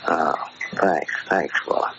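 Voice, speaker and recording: An actor playing a paranoid, bullying gangster in a gruff, slangy, commanding way, in 1940s radio drama style. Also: male voice, rumbling low, slow pace, pushed and bright, exaggerated voice. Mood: weary. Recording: noisy, muffled old-time radio recording.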